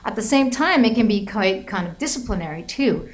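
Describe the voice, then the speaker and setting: A woman's voice: medium pitch, animated, fairly quick. A middle-aged woman giving an academic talk about her book, telling classroom stories with lots of hedging and restarts. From United States. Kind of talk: academic lecture with anecdotes.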